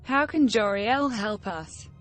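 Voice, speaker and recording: A woman's voice: a little high, animated, slow pace, soft background music. A woman playing a resolute, blunt adventurer in a fantasy game. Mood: resolute, questioning. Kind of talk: scripted fantasy game dialogue.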